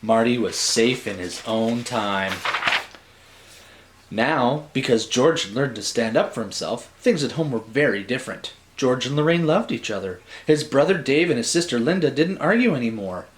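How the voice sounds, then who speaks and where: A man's voice: medium pitch, lively intonation, normal speed, slight background noise. A man narrating a story like a storybook, theatrical and dramatic, with wry asides.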